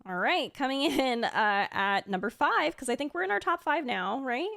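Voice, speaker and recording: A woman's voice: sits high, expressive, normal speed, creaky, pushed and bright, no room sound. Gushing woman host, very dry close mic. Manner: gushing, enthusiastic. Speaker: video host and streamer. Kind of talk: casual ranking and reaction commentary.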